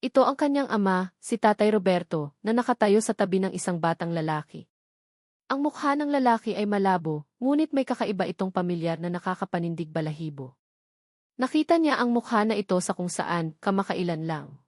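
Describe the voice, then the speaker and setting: A woman narrates a scripted romantic drama in a medium-pitched voice. The recording is very dry and close, with the measured delivery of a story reader. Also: animated, a little mellow, no room sound.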